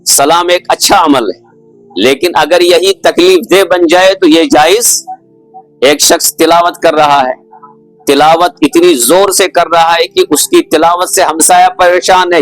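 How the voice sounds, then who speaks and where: Higher-pitched male preacher, dry sound. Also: no room sound, rough recording. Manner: didactic, repeats phrases and asks rhetorical questions. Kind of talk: sermon, explaining religious rulings with stories.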